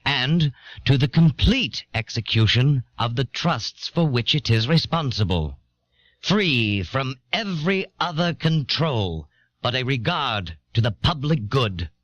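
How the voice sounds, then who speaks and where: A man playing a grave early American statesman, reading a political essay in deliberate, emphatic oratory on an old cassette. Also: medium pitch, lively intonation, fairly bright tone, no room sound. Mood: grave, stately. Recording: dull, dry old audio cassette.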